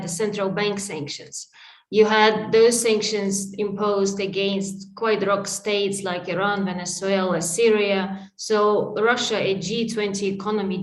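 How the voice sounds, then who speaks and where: A woman's voice: medium pitch, echoey room, rough recording. A woman speaking as a sanctions expert in an interview, giving a fluent, emphatic analysis point by point over a video call.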